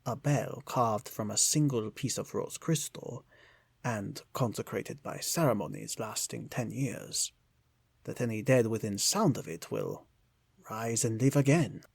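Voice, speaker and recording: Solemn polite shopkeeper, radio play, husky and gravelly, formal flowery talk. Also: male voice, on the low side, expressive, no echo, heavily acted. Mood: solemn warning. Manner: formal, flowery, deliberate phrasing. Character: courteous, guarded shopkeeper son, quaintly formal, solemn storyteller. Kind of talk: old-time radio drama acting.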